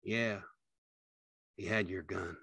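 Casting: male reader as burdened, tender working man in an audiobook; folksy, low register, dry studio sound. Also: animated, measured pace, sharp tone, dry recording. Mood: numb, tired. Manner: plain, folksy, reassuring. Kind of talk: audiobook character acting.

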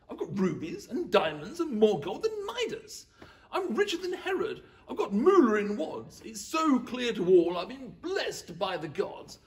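A man's voice: high-pitched, heavily acted. A man voicing a boastful, greedy Roman emperor in a rhyming story, pompous and gloating, laughing through his brags.

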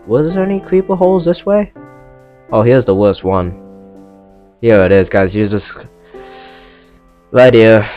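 A teenage guy with a stuffed-up nose from a cold talks in a lowish voice, rambling and apologizing as he plays. The recording is dull and lo-fi, with faint game music underneath. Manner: rambling, self-deprecating and apologetic. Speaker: gameplay commentator. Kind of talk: casual let's-play commentary.

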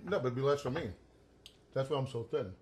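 A man's voice: medium pitch, a little fast, darkish tone. A middle-aged man hosting a cooking show in a home kitchen, chatty and joking as he demonstrates a recipe.

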